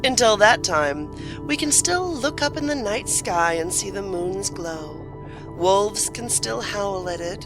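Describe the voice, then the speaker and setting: A woman reading scripted narration and credits for an audio drama as its narrator. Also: fairly low pitch. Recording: loud music under the voice. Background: prominent music.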